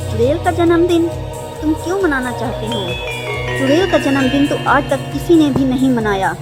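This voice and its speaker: A woman playing a gentle, practical village mother of a young boy in a cartoon story. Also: sits high, expressive, normal speed. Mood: doubtful. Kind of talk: Hindi cartoon bedtime-story dialogue. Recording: music under the voice.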